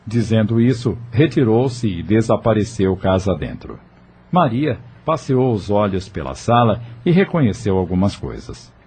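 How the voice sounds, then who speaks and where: A man's voice: on the low side, very animated, unhurried, warm tone, slightly rough. A man narrating a scripted audio novel as its storyteller. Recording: faint music behind.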